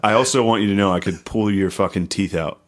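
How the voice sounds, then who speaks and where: A man's voice: fairly low pitch, fast. A man co-hosting a comedy podcast, talking casually in blunt, profane, slangy banter. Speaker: podcast co-host.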